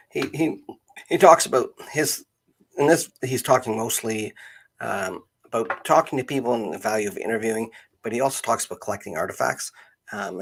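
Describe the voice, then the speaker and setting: A man chatting unscripted as host of a live stream, halting and searching for words. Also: on the low side, fairly bright tone, breathy edge, rough recording.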